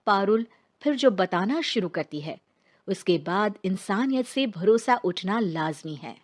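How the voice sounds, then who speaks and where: A woman's voice: mid-range voice, animated, measured pace. A woman narrating a scripted dramatic story as its storyteller.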